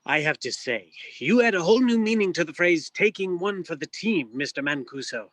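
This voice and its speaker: An actor playing a polite, dryly witty bartender in a noir stage play reading, with formal, old-fashioned manners. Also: male voice, on the high side, expressive, a little fast, a bit bright, dry recording. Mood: dry, concerned.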